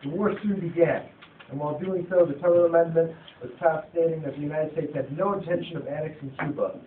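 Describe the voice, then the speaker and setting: A man's voice: fairly high pitch, steady tone, fast talker. A young man reading scripted narration aloud for a student puppet show, stumbling now and then over his words. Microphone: phone from across the room. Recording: tinny phone recording, distant, echoey, noisy.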